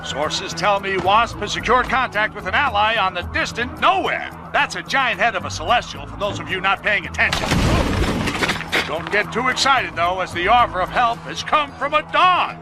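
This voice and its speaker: A very high, blustering voice for a grouchy middle-aged newsman. He delivers sarcastic, bombastic bulletins in a video game, with music loud behind him. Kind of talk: animated game news broadcast narration.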